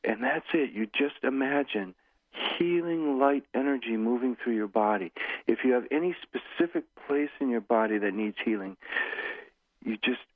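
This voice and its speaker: Older man, phone-line guest, calm guided meditation voice, dry sound. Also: mid-range voice, dry recording. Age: 60s. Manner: slow, soothing guiding voice. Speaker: guest author and meditation teacher. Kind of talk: guided meditation and interview talk. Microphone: phone call.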